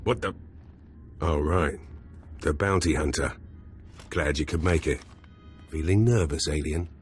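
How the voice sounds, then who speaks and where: A man's voice: rumbling low, measured pace, soft background music. An actor playing a brash, scheming male Imperial intelligence officer in a video game. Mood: cocky. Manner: cocky, conspiratorial.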